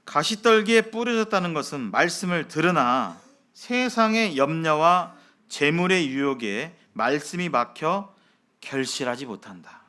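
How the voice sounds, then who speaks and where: A man's voice: fairly high pitch, animated, sharp tone. Man preaching with rhetorical questions. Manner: measured preaching with frequent fillers and rhetorical questions. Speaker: pastor. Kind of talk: sermon.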